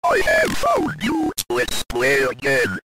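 A man's voice: mid-range voice, huge pitch swings, slow. A man playing a creepy, playful animatronic stalker in horror voice lines. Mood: creepily playful. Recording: loud music behind voice.

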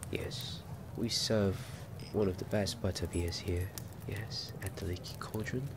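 Man playing a soft, low, creaky-voiced innkeeper. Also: fairly even intonation. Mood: gentle, soothing. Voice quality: soft, breathy, often dropping to whisper. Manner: gentle, soothing, unhurried. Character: kindly, attentive male innkeeper of a wizarding pub. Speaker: ASMR roleplayer. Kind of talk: ASMR fantasy roleplay. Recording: background ambience noise under close voice.

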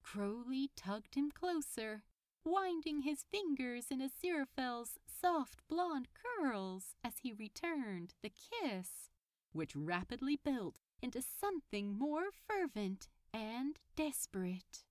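A woman reading a story aloud as narrator, in an expressive scripted style. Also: high-pitched, slow pace, no echo. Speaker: fan fiction narrator.

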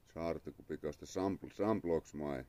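A man's voice: very deep voice, a little fast, darkish tone. A young man from Finland with a Finnish accent, chatting casually on a live stream outdoors in his yard, thanking followers and muttering to himself. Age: young adult. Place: outdoor yard under a parasol. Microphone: phone camera at arm's length.

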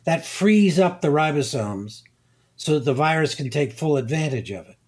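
An older man, a guest scientist, explaining slowly and deliberately, pausing and repeating words as he thinks. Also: fairly high pitch, lively intonation, darkish tone. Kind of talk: unhurried expert explanation.

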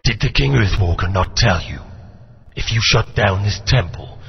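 A man's voice: fairly low pitch, expressive, moderate pace, gravelly, slightly rough, heavily acted. An actor playing a scornful, threatening male dinosaur general in a lo-fi game recording with long reverb. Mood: taunting.

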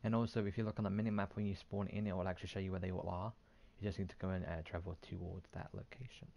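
A man's voice: low-pitched, level tone, racing, vocal fry, dark tone, highs cut off. A man hosting a gaming video, giving casual commentary as he plays, on a close mic.

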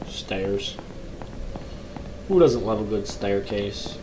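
A young man with a low voice talks through a horror game, cracking jokes and reading the on-screen text aloud. His tone is deep, and he puts on a nervous mock bravado. A steady hiss of background noise runs under his home recording. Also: very slow. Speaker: gaming YouTuber. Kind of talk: horror game let's play commentary. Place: small bedroom, indoors.

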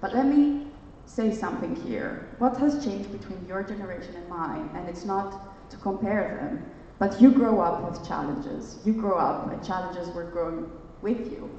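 A woman's voice: medium pitch, animated, moderate pace, mellow, slight background noise. A young woman from Greece speaking on a conference stage, earnest and searching for words, often restarting her phrases. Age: young adult. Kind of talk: onstage dialogue, reflective. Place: large hotel conference hall, on stage. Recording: distant, echoey hall, dull sound.

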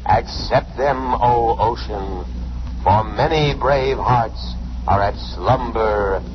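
A man playing a stubborn, patriotic alien lifeboat computer in a radio drama, with formal, measured, ceremonious delivery. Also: mid-range voice, natural voice. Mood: reverent. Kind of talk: 1950s radio drama acting. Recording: muffled, noisy old radio recording.

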